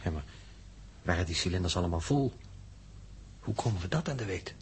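A man's voice: low-pitched, lively intonation, brisk, darkish tone. An actor playing a sober, worried space mission crewman in a 1960s radio drama. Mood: concerned. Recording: old radio recording, faint noise.

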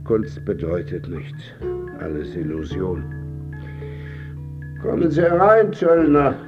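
Old blind artist, bossy and wistful, slow talker, radio drama, kinda dull sound with music under it. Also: male voice, low voice, wildly swooping pitch, full character voice. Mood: tender, melancholy. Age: older. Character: old, nearly blind, famous male painter, imperious and wistful. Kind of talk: German radio drama acting.

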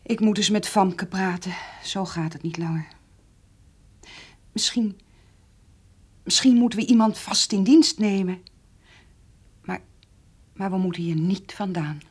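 Radio drama farm mother: middle-aged, breathy, anxious and stubborn, repeating herself as she frets. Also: medium pitch, darkish tone. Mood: worried. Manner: halting, repeating words, fretful. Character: anxious, stubborn farmer's wife and mother of grown son.

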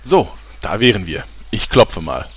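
A man acts out a self-important, slightly sleazy reporter in a parody radio play. The voice sits low and moves animatedly, announcing everything like a scandal and easily getting flustered. Some noisy ambience runs behind it. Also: very animated, a little slow, a bit bright. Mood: sensational, dramatic. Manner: dramatic announcer delivery with embarrassed stammers. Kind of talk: mock investigative report in an audio parody.

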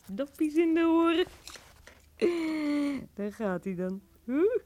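An actress playing a sceptical but good-humoured, chatty housekeeper who exclaims and mutters to herself in a children's audio drama. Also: female voice, high-pitched, big pitch swings, slow pace, darkish tone, full character voice. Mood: playful, dreamy.

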